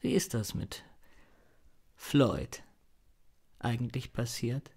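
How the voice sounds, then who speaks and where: Shady fussy gentleman crook, medium pitch, very formal and over-polite, radio crime play. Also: big pitch swings, slow pace, gravelly, slightly rough, heavily acted. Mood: probing. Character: fussy, courteous, shady male dealer. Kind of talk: German radio crime drama acting.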